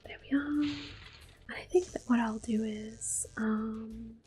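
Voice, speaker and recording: A woman giving hushed, gentle, soft-spoken ASMR commentary as she plays a video game. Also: fairly high pitch, slow pace, breathy edge. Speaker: ASMR gaming host. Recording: soft music in the background. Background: quiet game music.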